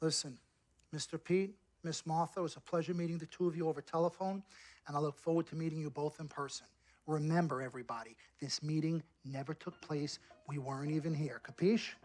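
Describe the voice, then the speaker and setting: A woman's voice: low voice, fairly even intonation, fairly quick, a little mellow, low-quality recording. A woman playing a slick, fervent sports agent in a game cutscene, insistent and emphatic, repeating points like a salesperson. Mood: smooth, polite. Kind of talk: dramatic persuasive acting in a game cutscene.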